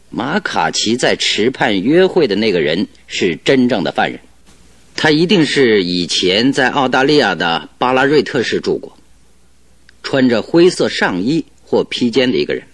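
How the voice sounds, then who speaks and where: An actor playing a confident, cheerful detective in a radio drama, explaining things in an animated, self-assured, didactic way. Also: male voice, medium pitch, normal speed, dry recording. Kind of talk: Chinese radio drama acting.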